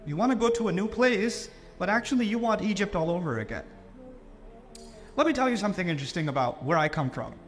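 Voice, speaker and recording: Animated, high-voiced male Islamic lecturer. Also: quick pace, soft background music. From Pakistan. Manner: animated, with mocking quoted voices. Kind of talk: lecture to a live audience.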